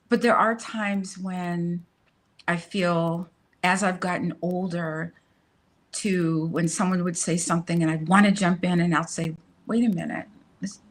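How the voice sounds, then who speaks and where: A middle-aged woman on a panel who talks in a lively, self-aware way and laughs at herself. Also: lowish voice. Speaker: guest panelist.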